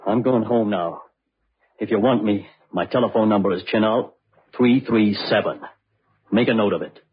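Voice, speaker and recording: A man's voice: fairly low pitch, normal speed. An actor playing a tough man investigating murder who grows haunted and rattled, in old-time radio mystery style. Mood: probing, stern. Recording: muffled, husky old radio drama recording.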